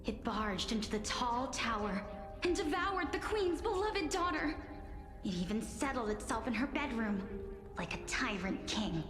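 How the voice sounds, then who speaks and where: A playful young woman storyteller from a video game. Her voice is high and theatrical, made for dark legends. It rings with long reverb, and music plays behind her. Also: big pitch swings, measured pace. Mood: dramatic, ominous. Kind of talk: video game voice acting, dramatic tale narration.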